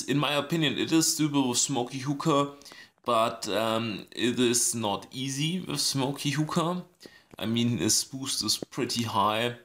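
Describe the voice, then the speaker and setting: A man with a scratchy voice giving casual commentary on a strategy game, thinking aloud with many pauses and ums. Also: mid-range voice, fairly bright tone. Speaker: gaming channel host.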